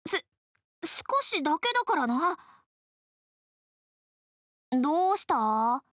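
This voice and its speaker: A woman voicing a brash, tomboyish young game character, hearty but easily flustered, who talks in rough, blunt slang. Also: high voice, sing-song, slow pace, dry recording, full character voice. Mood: casual. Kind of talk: video game character voice lines.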